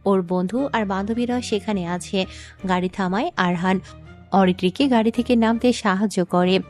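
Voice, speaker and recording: A woman narrates a scripted audio story in a middle-pitched voice. Music plays softly behind her narration. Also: normal speed.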